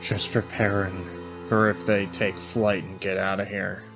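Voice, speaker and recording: Male tabletop player, lower register, in-character lines and description over faint music. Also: flat delivery, measured pace.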